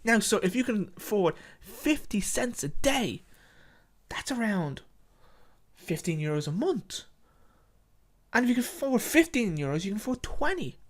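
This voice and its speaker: Man putting on a polite, higher-voiced charity canvasser. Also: very animated, a little slow, pauses often, heavily acted. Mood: patient pitching. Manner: nice, patient sales patter. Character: polite, patient young male charity canvasser pitching tigers.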